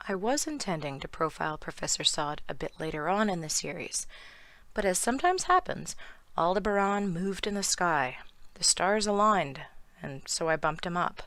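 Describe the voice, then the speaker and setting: A woman speaks in a hoarse, worn-down voice, delivering animated, sardonic commentary from a script. The sound is dry and close, with no room around it. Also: medium pitch, no echo. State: hoarse from lost voice. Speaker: YouTube commentator.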